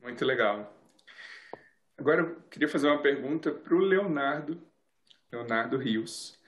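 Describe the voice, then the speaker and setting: A male TV interviewer asking measured questions and often adding tag questions. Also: mid-range voice, animated, a bit bright, roomy sound. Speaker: interviewer, TV host.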